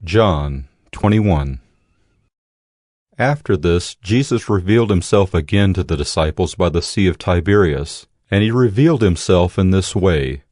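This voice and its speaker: A man narrating scripture in a steady, solemn scripted reading. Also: low voice, unhurried, dry recording, clean studio sound. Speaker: Bible narrator. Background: music.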